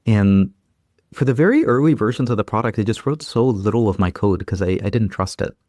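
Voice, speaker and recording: A man who grew up in Ukraine, a guest in a casual interview, talking in halting bursts full of restarts and fillers. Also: fairly low pitch, darkish tone, no echo, studio quality. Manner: halting, restarts often, many "like" and "you know". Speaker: guest, engineering lead.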